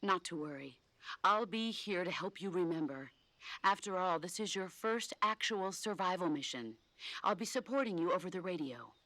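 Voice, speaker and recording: An actress playing a composed, solemn female war-hero mentor in a video game, measured and authoritative. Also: fairly low pitch, lively intonation, pushed and bright, dry recording. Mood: instructive. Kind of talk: video game cutscene acting.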